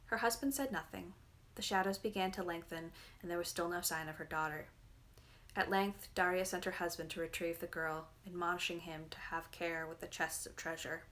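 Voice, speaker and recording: A woman's voice: fairly low pitch, steady tone, moderate pace, fairly bright tone. A woman narrating a fairy tale from a book as a dramatic, scripted reading. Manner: dramatic storytelling. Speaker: reader, narrator.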